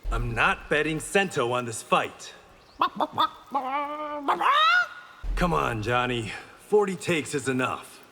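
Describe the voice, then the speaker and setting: A man's voice: medium pitch, expressive, measured pace, pushed and bright, in a large hall, a little hiss or hum. An actor playing a stoic, dry-humored blind swordsman in a fighting game, terse and guarded. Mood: dry, weary. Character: stoic blind swordsman, reformed male criminal, proud and dry. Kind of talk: video game pre-fight banter.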